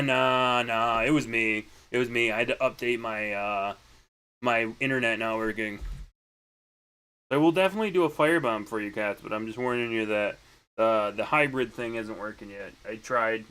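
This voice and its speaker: A young man with a deeper voice chats casually into a desk mic while fixing his stream setup. His pitch sits in an ordinary middle range. He rambles, backtracks and corrects himself as he talks to viewers. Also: moderate pace, sharp tone, clean tone.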